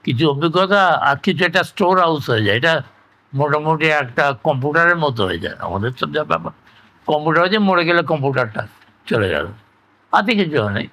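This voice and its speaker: An elderly man reminisces in casual conversation, reflective and halting, often repeating himself. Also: a little high, very animated, sharp tone, low-quality recording. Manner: halting, reflective, trailing into repetitions. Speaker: interview guest, retired engineer recalling life.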